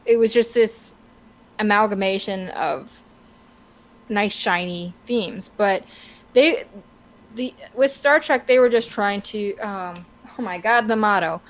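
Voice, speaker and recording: A woman co-hosting a casual podcast, talking through her opinions in a halting, wordy way as she searches for words. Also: medium pitch, rough recording. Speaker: podcast co-host.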